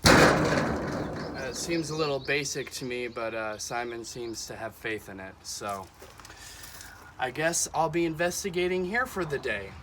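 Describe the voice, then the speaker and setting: A man's voice: medium pitch, lively intonation, unhurried, long pauses, very noisy, close to an everyday voice. A man playing a hesitant investigator who muses to himself in an audio drama. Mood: hesitant, musing. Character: hesitant, unimpressed male investigator working alone.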